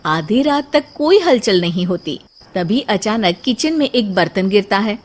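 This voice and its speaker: A female storyteller reads scripted narration. Her voice sits at a middle pitch for a woman, telling the tale in the third person. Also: expressive, unhurried.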